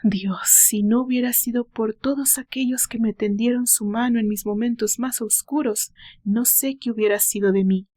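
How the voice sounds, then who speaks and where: Woman voicing a plaintive, wounded young man, high-pitched. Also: steady tone, normal speed, mellow, close to an everyday voice. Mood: grateful, pained. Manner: plaintive, sorrowful. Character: wounded, resentful young man recalling his absent father. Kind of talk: dramatic audio novel monologue.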